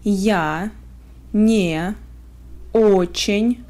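Russian-accented woman tutor, mellow voice, very slow deliberate drilling. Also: medium pitch. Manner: word-by-word, carefully spaced pronunciation. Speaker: language teacher. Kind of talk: slow phrase drills for learners.

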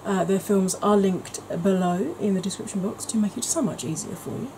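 Rambling woman, mellow voice. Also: medium pitch, moderate pace, slight background noise, rough recording. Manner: rambling, self-mocking, soft-spoken at times. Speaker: makeup vlogger. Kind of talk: casual chatty makeup vlog. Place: indoor home room, kitchen.

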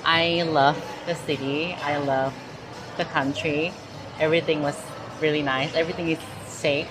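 A woman's voice: deep voice, fairly even intonation, moderate pace, music in the background, poor audio. A woman in her thirties with a Thai accent, chatting casually in an interview with lots of fillers and restarts, recorded on a lapel mic in a large indoor mall. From Thailand. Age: 30s. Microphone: clip-on lapel mic.

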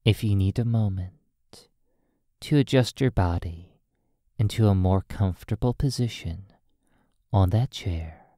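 An ASMR hypnosis roleplay by a sleep therapist speaking in a hushed, soothing manner, in drawn-out phrases with long pauses. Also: sits low, no room sound, studio quality.

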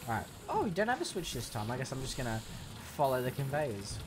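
A young man talks over his gameplay, sarcastic and quick to swear. His pitch swoops up and down with each outburst. Music plays clearly in the background. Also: wildly swooping pitch. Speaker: gaming commentator. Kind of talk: let's play commentary.

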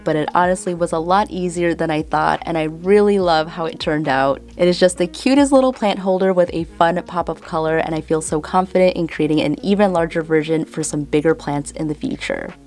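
A woman's voice: on the low side, a little fast, few pauses, a little mellow, soft background music. A woman in her twenties with a Chicago accent, chatting casually as she explains a DIY craft tutorial step by step. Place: indoors, room with houseplants.

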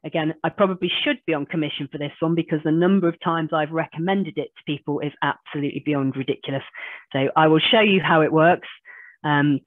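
A woman, hoarse from a cold, giving an online business training webinar and chatting through software demos on a video call. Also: deep voice, fairly even intonation, fairly quick, dry recording. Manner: chatty, self-deprecating explainer walking through screen demos. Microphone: video call webcam. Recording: tinny phone-like call audio.

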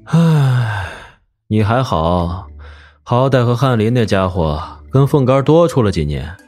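An actor playing a blunt, restless young warrior in an audio drama, sighing and plain-spoken. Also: male voice, mid-range voice, sing-song, a little slow, a little mellow, faint music behind. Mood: uneasy, rueful. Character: blunt, restless young warrior uneasy about an old friend.

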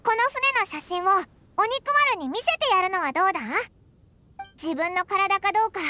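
A woman voicing a small, perky floating fairy companion in a Japanese game dub, with a squeaky childlike voice and blunt, boyish speech. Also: normal speed, bright, forceful tone, slight background noise. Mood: curious, eager. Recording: music under the dialogue.

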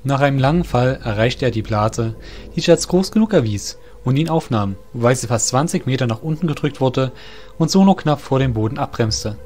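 A man's voice: mid-range voice, expressive, brisk, a little mellow, a little hiss or hum. A man reading scripted fantasy narration for an audio drama. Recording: music under the voice. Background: music.

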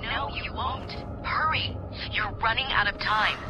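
A woman's voice: fairly high pitch, a little slow, over music, close to an everyday voice. An actress playing a concerned, insistent female mission ally in a video game. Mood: urgent. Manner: urgent, insistent.

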